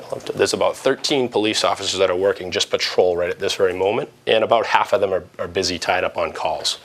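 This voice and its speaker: A man explaining things informally, step by step, as a supervisor giving an interview and tour. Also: lowish voice. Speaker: police dispatch supervisor. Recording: clearly noisy room ambience.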